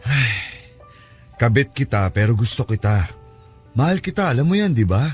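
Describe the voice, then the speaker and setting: Mid-pitched actor as married man, evasive, weary, placating, conversational radio-style drama. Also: big pitch swings, normal speed, husky, soft background music. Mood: pleading, weary.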